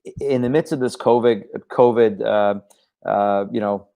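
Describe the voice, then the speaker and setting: A man's voice: on the low side, unhurried, creaky, lo-fi sound. A man from Iran, a guest on a talk show, speaking earnestly with frequent stammered restarts. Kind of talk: casual talk show conversation.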